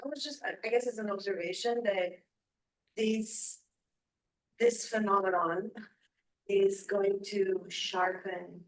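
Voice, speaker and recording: A woman in the audience at a conference, making a hesitant comment as she thinks aloud. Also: fairly low pitch. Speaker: audience member asking a question. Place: conference room. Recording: voice from a distance in the room.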